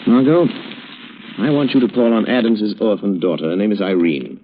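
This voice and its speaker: An actor playing a calm, well-bred amateur sleuth with measured, deliberate 1930s radio delivery. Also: male voice, on the low side, phone-quality sound, a little hiss or hum, natural voice. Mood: quietly decisive. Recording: thin old radio recording.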